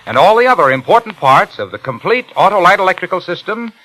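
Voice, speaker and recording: A man's voice: sits high, sing-song, quick pace, pushed and bright, poor audio. An American man reading scripted commercials as a 1950s radio announcer, with an emphatic salesman delivery on a vintage broadcast recording.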